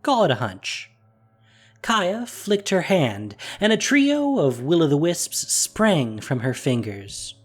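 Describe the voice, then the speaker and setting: A man reading a fantasy story aloud in a measured, expressive way. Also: fairly high pitch, soft background music. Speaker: narrator. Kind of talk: storybook narration.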